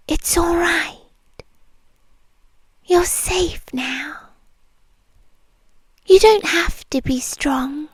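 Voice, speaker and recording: A British woman voicing a possessive demon girlfriend in an intimate, soft, breathy ASMR roleplay, tender yet menacing. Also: sits high, no room sound, heavily acted. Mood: soothing, tender. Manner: slow, breathy, drawn-out, soothing yet possessive. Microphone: close microphone, dry.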